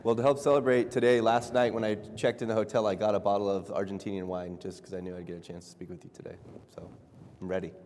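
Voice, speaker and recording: Man, fairly low voice, easygoing moderator, lots of fillers, long reverb in a big hall. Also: fairly even intonation, fast, a little mellow, a little hiss or hum. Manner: easygoing, filler-heavy business pitch. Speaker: fireside chat moderator and presenter. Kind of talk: conference fireside chat. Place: large conference hall.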